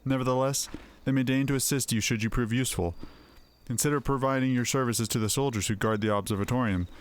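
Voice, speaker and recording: A man voicing a courteous advisor in a fantasy game, speaking in a formal, measured manner. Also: medium pitch, steady tone, lightly acted. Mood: measured advice. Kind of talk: acted fantasy game dialogue. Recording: music behind the voice.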